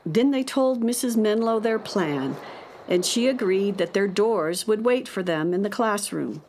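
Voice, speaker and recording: A woman's voice: medium pitch, expressive, measured pace, darkish tone, a little hiss or hum. A woman narrating a scripted children's story as the narrator of an audio drama.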